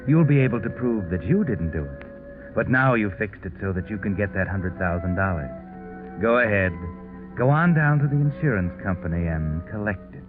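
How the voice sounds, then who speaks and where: An actor playing a sardonic, knowing narrator in a 1940s radio mystery, insinuating and ironic. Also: male voice, lowish voice, lively intonation, moderate pace, close to an everyday voice. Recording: narrow 1940s radio broadcast recording. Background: music.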